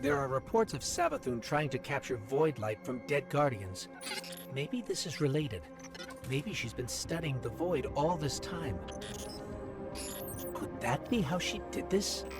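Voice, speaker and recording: A man's voice: on the high side, very animated, measured pace. A man voicing a small robot guide in a video game, earnest, curious and watchful, speaking conversationally. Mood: curious, urgent. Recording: game music behind the voice.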